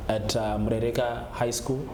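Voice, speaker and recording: A man with a Zimbabwean accent speaks as an interview guest in a middling pitch. He often restarts and repeats phrases, and the room echoes around him with clear noise behind. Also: level tone, moderate pace. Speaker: gospel musician being interviewed. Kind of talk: conversational interview answers.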